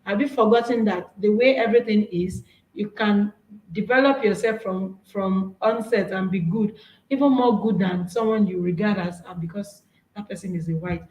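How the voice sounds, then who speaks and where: A woman's voice: mid-range voice, normal speed, a little mellow, reverberant, rough recording. Earnest Nigerian woman giving a workshop talk. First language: Yoruba. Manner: earnest, encouraging, repeats and restarts phrases. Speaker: speaker. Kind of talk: presenting a workshop talk with slides.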